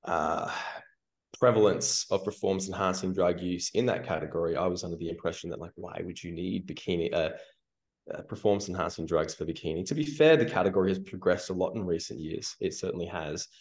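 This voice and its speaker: A man's voice: low voice, a little fast. A man co-hosting a podcast, giving his opinions in a wry way with joking asides. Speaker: podcast co-host. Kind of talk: casual podcast chat.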